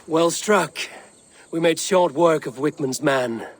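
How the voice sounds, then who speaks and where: An actor playing a composed, plain-spoken Viking raider in a video game, speaking in a measured and direct way. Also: male voice, fairly high pitch, noisy background. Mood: approving. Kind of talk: video game character dialogue. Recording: faint outdoor game ambience.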